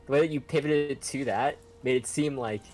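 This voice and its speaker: A man's voice: medium pitch, brisk, a little mellow, faint music behind. A man chatting casually with friends during a game stream, rambling and tripping over his words as he explains things. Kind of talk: casual gaming chat.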